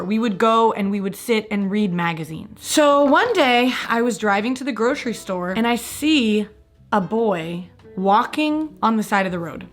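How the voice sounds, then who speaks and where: A young woman telling a story in a casual vlog, chatty and self-mocking, her voice a little strained from a sore throat. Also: on the high side. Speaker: vlogger. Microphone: switches between a mic and the camera mic.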